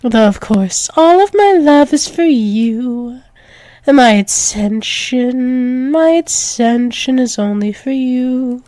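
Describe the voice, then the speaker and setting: Woman playing a slow, teasing, possessive demoness lover. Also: sits high, lively intonation, mellow, no room sound. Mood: possessive, adoring. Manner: slow, teasing, breathy drops into whispers, pet names. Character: doting possessive dominant dragon-wolf demoness lover, teasing. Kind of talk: intimate ASMR roleplay.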